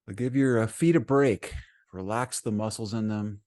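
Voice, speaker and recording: A man leading a guided meditation as a teacher, speaking gently and soothingly in short phrases with frequent pauses. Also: lowish voice.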